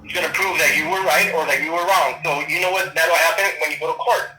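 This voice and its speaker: A man's voice: on the high side, fairly even intonation, quick pace, sharp tone, slight background noise. A man, a police sergeant, on a phone call, explaining procedure in a matter-of-fact way. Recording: echoey phone line.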